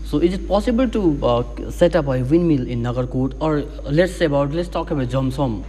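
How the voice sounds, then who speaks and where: A man with a Nepali accent hosting a TV chat show, asking quick questions full of "you know" and "like". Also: a little high, expressive, warm tone, long reverb tail. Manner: fast, filler-heavy questions with "you know" and "like". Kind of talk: TV interview, switching between English and Nepali.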